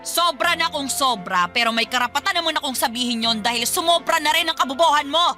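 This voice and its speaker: A woman's voice: sits high, animated, brisk, over music. A woman playing a stern, belittling Filipino mother in a radio drama, with a nagging, confrontational way of talking. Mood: angry, berating.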